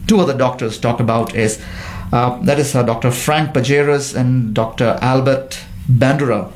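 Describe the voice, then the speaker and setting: A man's voice: mid-range voice, normal speed, a little hiss or hum. A man on a discussion panel, explaining his points at length and steering the talk back to the topic.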